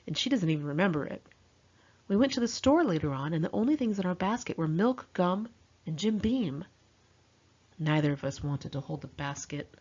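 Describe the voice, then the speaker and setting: Husky, expressive woman reading her memoir. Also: on the low side. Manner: candid, wry, dramatically expressive. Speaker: author reading own memoir. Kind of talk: memoir audiobook narration, confessional and conversational. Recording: muffled lo-fi home recording.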